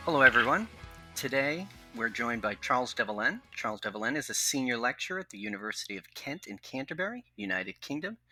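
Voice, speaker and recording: A man's voice: mid-range voice, expressive, brisk, pushed and bright, soft background music. A man co-hosting a podcast who reads a formal guest introduction and then chats in a friendly way. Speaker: podcast co-host.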